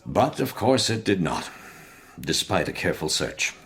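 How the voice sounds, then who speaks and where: An actor playing a worried, proud middle-aged gentleman in a period radio drama, hesitant and formal in his delivery. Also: deep voice, very animated, moderate pace, husky, exaggerated voice. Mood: troubled, reluctant. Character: worried, proud older society father, a gentleman client.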